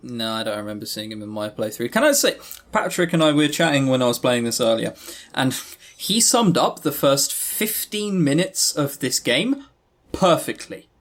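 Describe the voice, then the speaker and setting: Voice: medium pitch, sing-song, normal speed. A podcast co-host chatting casually about games in an exasperated, emphatic, sweary way. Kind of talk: casual visual novel review chat.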